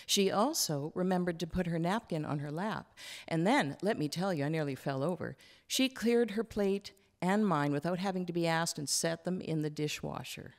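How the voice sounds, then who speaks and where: Woman to play plain-spoken older grandfather for a novel reading, gruff yet tender, confiding. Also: lowish voice, moderate pace, close to an everyday voice. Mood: softened, confiding. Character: gruff, tender grandfather in his late sixties. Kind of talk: acted literary reading.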